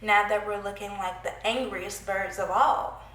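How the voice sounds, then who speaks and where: A woman's voice: medium pitch, fairly even intonation, a little slow, fairly bright tone, echoey room, slight background noise, low-quality recording. A young woman with a stuffy, congested voice, chatting playfully to her viewers while giving a makeup tutorial at home. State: congested from a cold. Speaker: beauty vlogger. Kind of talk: casual makeup tutorial chat. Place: small room at home, indoors.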